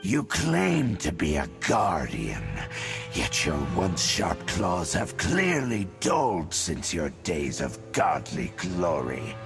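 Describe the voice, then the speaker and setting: A man playing a haughty, menacing herald of the abyss in a fantasy game, gravelly, pompous and sneering. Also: low-pitched, huge pitch swings, takes it slowly, over music, exaggerated voice. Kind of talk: fantasy game villain acting.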